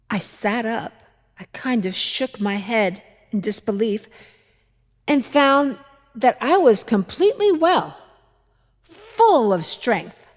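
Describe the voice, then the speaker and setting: Woman playing slow-speaking biblical widow, echoing church. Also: on the high side, heavily acted. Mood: joyful wonder. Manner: measured, expressive storytelling with deliberate pauses. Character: Peter's earnest mother-in-law, a biblical widow recalling miracles. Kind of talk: dramatic monologue reading in church. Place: large church, indoors. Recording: echoey church hall, long reverb.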